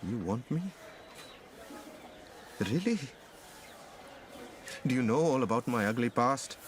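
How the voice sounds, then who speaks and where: An actor plays a wounded, distrustful man in a radio play, a wreck of a former lover. His mid-range voice is intense and questioning. There is clear noise in the background of the recording. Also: mid-range voice, animated, takes it slowly. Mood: disbelieving. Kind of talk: radio drama acting.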